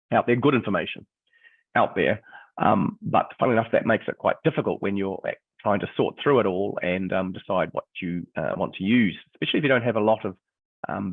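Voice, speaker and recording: A middle-aged man with a New Zealand accent talks through a webinar, his low voice croaky and gravelly from illness. He hesitates often, filling pauses with ums. The sound is dry and thin, like a phone-line video call. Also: dry recording. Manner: hesitant, many ums and restarts. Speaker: history teacher and textbook author. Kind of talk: webinar presentation.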